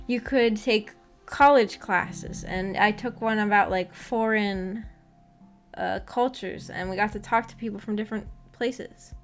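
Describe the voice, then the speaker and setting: A woman's voice: mid-range voice, measured pace. A woman chatting casually as a livestream host, rambling in a giggly, self-deprecating way. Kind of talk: casual chat. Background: music.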